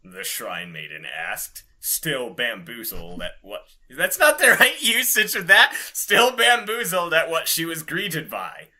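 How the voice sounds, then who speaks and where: A man reads fan fiction as a podcast co-host, with a lively, swinging delivery. His voice is very bright and mid-pitched, and he takes his time, slipping into irreverent banter. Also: measured pace, few pauses. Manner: giggly, irreverent. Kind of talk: comic fanfic reading with casual banter.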